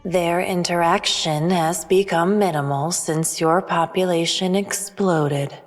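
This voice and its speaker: An aloof female alien played by a woman from a sci-fi audio thriller, cryptic and formal. The voice is deep for a woman and very unhurried, ringing in long reverb with music underneath. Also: steady tone. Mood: grave, detached. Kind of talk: scripted sci-fi audio drama.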